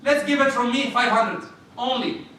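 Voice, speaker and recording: Loud, bright-voiced imam in an echoey hall. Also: male voice, very high-pitched, moderate pace. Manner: loud, animated, jokes with the congregation. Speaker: imam giving a sermon. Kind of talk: Friday sermon to a congregation. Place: mosque prayer hall. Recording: echoey hall, mic far off, faint noise.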